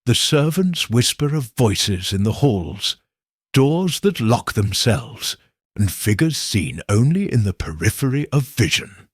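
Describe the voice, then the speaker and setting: A man voicing an anxious, formal gentleman client in a Victorian mystery audiobook. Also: mid-range voice, sing-song, a little slow, husky, dry recording, clean studio sound. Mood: uneasy. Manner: hesitant, uneasy, formal.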